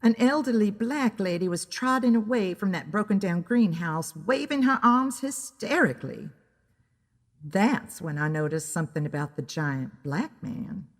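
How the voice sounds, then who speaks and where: A woman narrates a scripted story in a deliberate, dramatic way. She takes her time, her pitch moves expressively, and the sound carries a long hall reverb.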